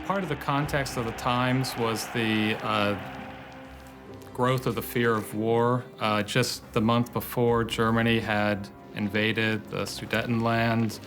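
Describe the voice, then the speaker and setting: A man giving explanatory interview commentary, with halting, thoughtful phrasing. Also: mid-range voice, flat delivery, over music. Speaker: documentary interviewee.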